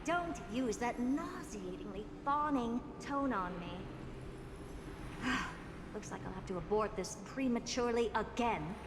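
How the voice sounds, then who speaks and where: A woman's voice: on the high side, lively intonation, measured pace, noisy background. A woman playing a haughty, impatient genius scientist in a video game, with an imperious, dry and dramatic manner. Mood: exasperated. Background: prominent music.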